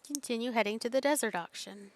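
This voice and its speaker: A woman's voice: on the high side, quick pace. A woman streaming a video game, muttering casual commentary to herself as she plays.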